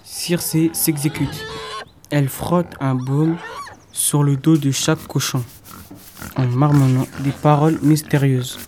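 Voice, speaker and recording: Teenage male narrator, mellow tone, scripted storytelling, faint background noise. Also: a little high, little pitch movement, takes it slowly.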